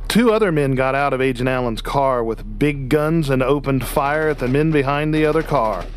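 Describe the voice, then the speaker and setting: A man's voice: medium pitch, moderate pace, slight background noise, close to an everyday voice. A man reading scripted story narration for a radio drama.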